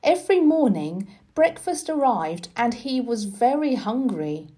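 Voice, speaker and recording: Slow, very animated woman storyteller. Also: fairly high pitch. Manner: deliberate, expressive read-aloud delivery. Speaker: storybook reader. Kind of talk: scripted narration of a children's story.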